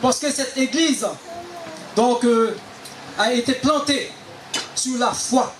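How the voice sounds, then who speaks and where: A man's voice: very high-pitched, slow, roomy sound, slight background noise. A man preaching as a guest, exhorting the congregation and punctuating his phrases with amens. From Haiti. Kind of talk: sermon with call and response.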